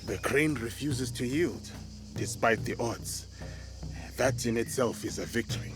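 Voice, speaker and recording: An actor playing an honourable, steadfast samurai in a video game, speaking in measured, formal phrases. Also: male voice, medium pitch, music in the background, lightly acted. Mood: calm, respectful. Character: honourable, steadfast male samurai swordsman, calm and respectful. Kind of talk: dramatic video game acting.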